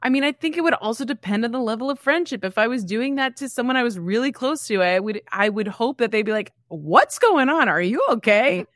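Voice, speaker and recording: A woman's voice: a little high, expressive, brisk, hardly any pauses, a bit bright, dry recording, studio quality. A young woman co-hosting a podcast in informal, unscripted conversation with a friend. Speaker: podcast co-host. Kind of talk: casual unscripted chat with a friend.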